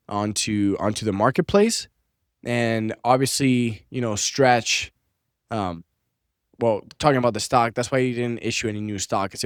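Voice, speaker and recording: A man's voice: lowish voice, no echo, studio quality. A young man with a slightly stuffy, under-the-weather voice, casually talking through market charts with lots of fillers and restarts. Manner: loose, conversational, many fillers and restarts. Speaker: finance channel host. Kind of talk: casual commentary on screen charts.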